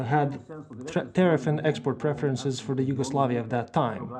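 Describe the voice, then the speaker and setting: A man dubbing an interview guest, a veteran free zone executive, in a steady and informative manner. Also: mid-range voice, close to an everyday voice. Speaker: interview guest, dubbed into English. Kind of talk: read dub of an interview answer.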